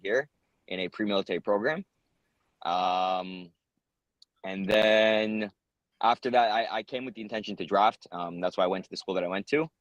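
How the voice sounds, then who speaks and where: A man's voice: lowish voice, steady tone, normal speed, dry recording, highs cut off. A young American man answering interview questions in an earnest, rambling way, with many ums and uhs. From United States. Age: young adult. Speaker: interviewee, soldier.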